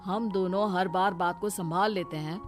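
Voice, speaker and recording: A woman's voice: lowish voice, fairly even intonation, moderate pace, music in the background, lightly acted. A woman voicing a worried, respectful adult son in a cartoon moral story. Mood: worried, agreeing.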